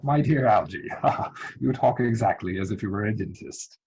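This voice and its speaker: A man playing a proper, earnest young English gentleman, evasive and easily exasperated, speaking formally in a dramatic reading of a Victorian stage comedy. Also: lowish voice, moderate pace, vocal fry, muffled recording, slight background noise. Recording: home recording over video call.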